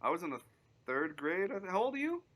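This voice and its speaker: A man's voice: high voice, sing-song, fast talker, a bit bright. A man around thirty chatting casually about sports on a podcast, informal and emphatic in his banter. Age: 30s.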